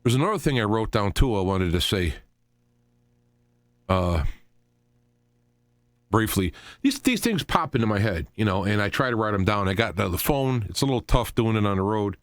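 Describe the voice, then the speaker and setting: A man with a low voice that swings widely in pitch, hosting an unscripted talk radio show in a dry, close sound. He rambles and halts, circling back to repeat phrases for emphasis. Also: big pitch swings, normal speed, pauses often, no echo.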